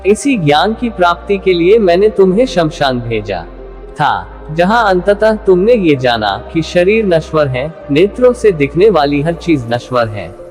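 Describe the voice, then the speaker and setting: A man voicing a wise, calm male spiritual master who instructs a disciple in a measured way in a moral story. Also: fairly high pitch. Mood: calm, teaching. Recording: long hall reverb. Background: music.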